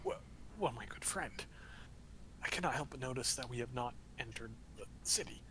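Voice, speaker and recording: A man voicing a polite, formal male adventurer with a dry, raspy voice. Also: medium pitch, expressive, normal speed, pushed and bright. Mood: wry. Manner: formal, courteous phrasing. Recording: clicky, noisy home mic.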